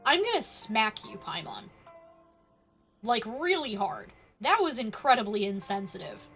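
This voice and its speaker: Female streamer, highish voice, unhurried, playful mock-regal chat, faint game music behind. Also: big pitch swings, a bit bright. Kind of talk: casual live-stream game commentary.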